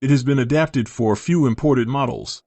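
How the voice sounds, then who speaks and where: A man playing a courteous parts supplier pitching business in a dubbed drama. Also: normal speed, a little mellow, dry recording, close to an everyday voice. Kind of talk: dubbed short drama dialogue.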